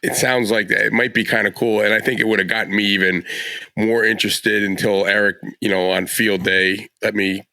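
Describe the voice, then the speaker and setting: Bright, lowish-voiced male podcast host. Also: steady tone, brisk, no echo, low-quality recording. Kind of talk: casual chat, asking questions.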